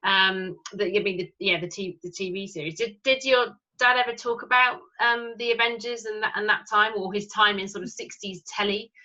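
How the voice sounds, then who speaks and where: A woman's voice: mid-range voice, moderate pace, few pauses, sharp tone. A woman interviewer putting questions casually, recorded on a muffled, distant microphone.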